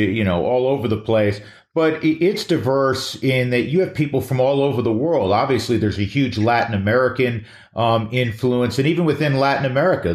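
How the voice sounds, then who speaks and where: A man hosting an interview, asking long, chatty, wind-up questions. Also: medium pitch. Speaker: host. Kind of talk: conversational interview. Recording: roomy echo.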